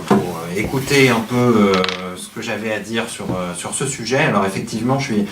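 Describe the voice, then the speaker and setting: Male speaker, very low voice, hesitant lecturer, distant mic in a noisy, echoey hall. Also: fairly quick. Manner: hesitant, frequent restarts. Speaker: journalist guest speaker. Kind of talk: lecture to an audience. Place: meeting hall. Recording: echoey room from far off, noisy.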